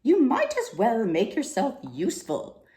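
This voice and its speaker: A woman's voice: on the high side, huge pitch swings, unhurried, warm tone, pitched above the performer's own voice. A woman voicing a strict, rule-loving female head librarian from a storybook, in a stern, commanding manner. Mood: firm but fair. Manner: stern, commanding, clipped phrases. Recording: roomy, echoing room sound.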